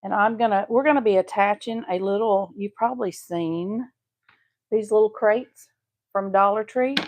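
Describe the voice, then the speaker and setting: A woman's voice: mid-range voice, normal speed, darkish tone. Chatty woman with a Texas accent. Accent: Southeast Texas. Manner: chatty, homey, talks to viewers while painting. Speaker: craft channel host. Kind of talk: casual live-stream craft tutorial.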